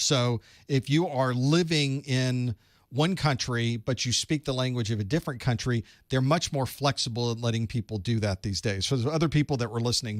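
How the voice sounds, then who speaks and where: A man's voice: medium pitch, no room sound, clean studio sound. Man hosting a call-in study show. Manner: conversational, thinks aloud with restarts. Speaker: host of a certification study group. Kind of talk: live call-in Q&A advice.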